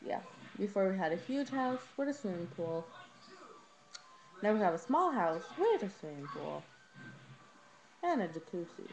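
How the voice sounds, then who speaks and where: A woman's voice: big pitch swings, moderate pace, long pauses, darkish tone. A young woman giving a casual, rambling home tour on a webcam, informal and a little hesitant as she goes. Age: teenager to young adult. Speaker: vlogger. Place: rooms of a small house. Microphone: handheld webcam, moved around. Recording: noisy low-quality webcam audio.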